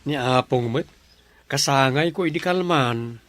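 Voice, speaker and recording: A man playing a polite young grandson in a radio drama, with an Ilocano accent and a soft-spoken manner. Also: mid-range voice, measured pace, natural voice. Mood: polite, earnest.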